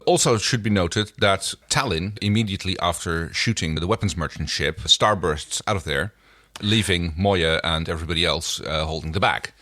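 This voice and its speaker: A man co-hosting a casual TV recap in a measured, thoughtful way, with hesitant pauses. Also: deep voice, bright, forceful tone, no echo. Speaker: podcast co-host. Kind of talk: casual TV episode recap discussion.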